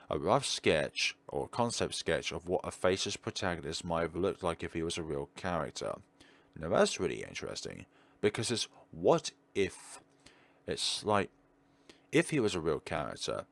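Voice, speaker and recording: A man giving casual playthrough commentary as a gaming video host, thinking aloud with questions and trailing pauses. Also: deep voice, gravelly, dry recording. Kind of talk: casual playthrough commentary, partly reading on-screen text aloud.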